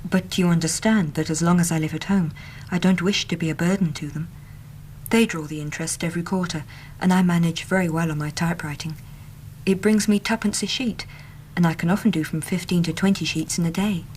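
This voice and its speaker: Woman acting an earnest, low-voiced young English typist. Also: steady tone, fairly quick, darkish tone, slight background noise, natural voice. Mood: earnest, chatty. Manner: earnest, chatty, plain-spoken. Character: earnest, talkative young female Victorian typist seeking help. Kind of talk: radio drama acting.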